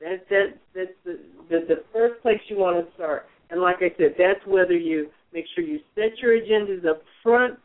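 A man's voice: sits high, moderate pace, few pauses. A man hosting a radio advice show, coaching in a strong, projecting voice with frequent restarts. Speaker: radio show host, mentor. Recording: phone-like narrow band.

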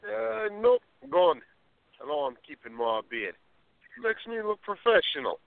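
Radio play role: droll, playful male teacher, played by an actor, highish voice, very animated, theatrical teasing. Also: a little slow, vocal fry, no room sound, heavily acted. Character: playful bearded schoolteacher, a woman's pretend boyfriend. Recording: narrow phone-like sound.

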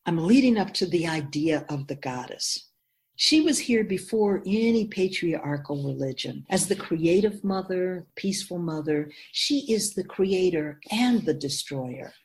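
Woman acting immortal spirit guide, assured, didactic, formal, expressive melody, radio drama. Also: on the low side, unhurried. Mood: instructive. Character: feminine-voiced immortal spirit keeper of souls, assured and instructive.

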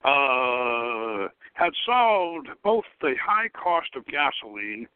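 A man hosting a radio show, giving a dramatic reading of satirical fiction in a halting way, stumbling and rereading phrases. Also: mid-range voice, big pitch swings, a little hiss or hum. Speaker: radio show host, author reading own novel. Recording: thin phone-like radio line.

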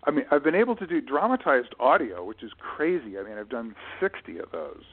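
A mature man speaks as an interview guest in a conversational, reflective way, pausing and stressing key words. His mid-range voice has the narrow band of a phone call. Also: medium pitch, big pitch swings. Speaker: interview guest, author and producer. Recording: narrow tinny phone line.

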